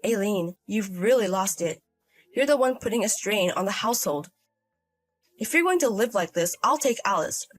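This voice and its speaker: A woman's voice: mid-range voice, lively intonation, normal speed, soft background music, natural voice. Woman acting a stern, exasperated older mother. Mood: stern, reproachful. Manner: scolding, firm, questioning. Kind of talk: acted dialogue in a narrated drama story.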